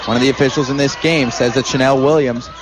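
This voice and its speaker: A man's voice: medium pitch, fairly even intonation, fast, a crowd in the background, background noise. A man giving explanatory color commentary and analysis during a live basketball broadcast from an arena.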